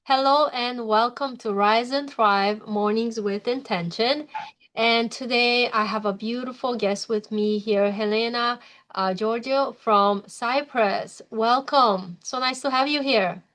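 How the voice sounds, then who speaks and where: A woman hosts a podcast interview with a fairly high voice. She speaks in a friendly, encouraging way and often fills pauses with um and uh. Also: a bit bright. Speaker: podcast host. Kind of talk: interview hosting.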